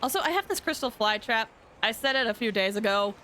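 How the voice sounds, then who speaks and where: A woman's voice: on the high side, expressive, fairly quick, bright, forceful tone, slight background noise. A woman chatting casually and animatedly on a game livestream, rambling as she talks to viewers.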